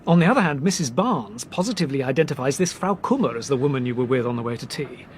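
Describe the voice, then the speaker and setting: An actor playing a brisk young Englishman who reasons deliberately and articulately, in a British radio drama. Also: medium pitch, expressive, natural voice. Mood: methodical, sceptical. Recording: noisy train background ambience.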